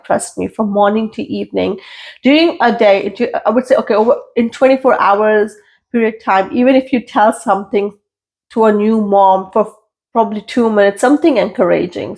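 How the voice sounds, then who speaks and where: A woman in her thirties with a noticeably gravelly, creaky voice at a middle pitch. She speaks as a podcast guest, earnest and emphatic, circling back to repeat her points. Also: a little mellow. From India, raised in London. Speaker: guest, registered nurse. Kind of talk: casual podcast interview.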